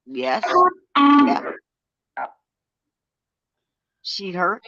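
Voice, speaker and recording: Sixty-something woman co-host, mid-pitched and emphatic, dry dull lo-fi sound. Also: big pitch swings, extremely slow, fairly bright tone, slight background noise. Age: 60s. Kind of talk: live-stream ghost hunt chat. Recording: dry, dull lo-fi stream audio.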